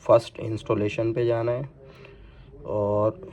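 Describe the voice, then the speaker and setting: Man with a dark, low-key voice. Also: level tone, slow pace. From India. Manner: step-by-step explaining with English technical words mixed in. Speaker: product installation demonstrator. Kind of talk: hands-on instructional demo, unscripted.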